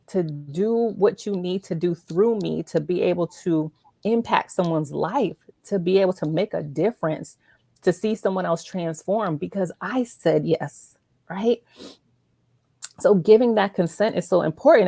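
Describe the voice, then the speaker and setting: Low-pitched, creaky-voiced woman host. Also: a little mellow, no echo. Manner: encouraging, emphatic, checks in with "right?" and "okay?". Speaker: show host interviewing an author. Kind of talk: earnest faith-based interview talk.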